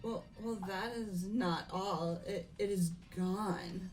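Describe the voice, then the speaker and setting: A woman playing a composed but uneasy young lady in an amateur video game dub, speaking formally and haltingly. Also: medium pitch, steady tone, a little mellow, faint music behind. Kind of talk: amateur voiced playthrough of a mystery game.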